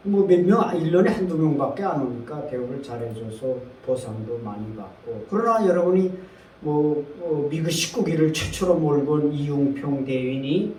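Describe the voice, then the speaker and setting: A man's voice: on the high side, expressive, normal speed, mellow, distant mic. A man in his sixties from North Korea, giving an earnest, emphatic talk to an audience, with many fillers. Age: 60s. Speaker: guest speaker. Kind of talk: lecture to a seated audience. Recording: echoey room, speaker off-mic with faint noise.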